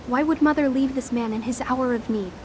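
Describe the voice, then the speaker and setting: High-voiced, earnest grieving daughter played by an actress. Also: female voice, fairly quick, a little mellow, slight background noise, natural voice. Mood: stunned, reflective. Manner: earnest, courteous. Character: grieving young adult daughter, polite and bewildered. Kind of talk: dramatic video game dialogue.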